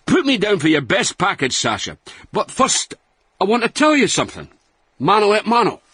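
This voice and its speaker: A man's voice: high voice, wildly swooping pitch, moderate pace, bright, forceful tone, breathy edge, no echo, clean studio sound, exaggerated voice. An actor playing a roguish, boastful Scottish man in his sixties from Dundee in a radio comedy, colloquial and mock-sincere. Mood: cheerful, boastful. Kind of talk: radio comedy acting, reading out emails.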